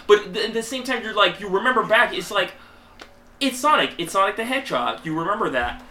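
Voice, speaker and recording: A man talking casually as a podcast co-host, halting and emphatic, often repeating words. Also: high voice, bright, forceful tone. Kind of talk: casual chat about films and games. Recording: echoey room, distant mic.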